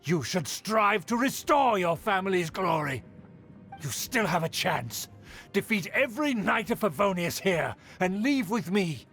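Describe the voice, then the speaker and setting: A proud, scheming aristocratic elder from a fantasy game. His high voice swoops dramatically as he lectures with pompous indignation, over game music. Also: big pitch swings, unhurried, a bit bright, heavily acted. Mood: stern reproach. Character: haughty scheming noble uncle, proud clan elder, indignant. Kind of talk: video game voice acting.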